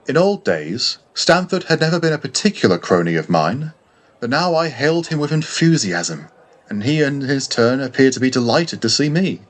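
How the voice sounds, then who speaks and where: A man narrates a classic novel in the first person. His delivery is measured and formal, in the manner of an audiobook reading, with a middling male pitch. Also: sing-song.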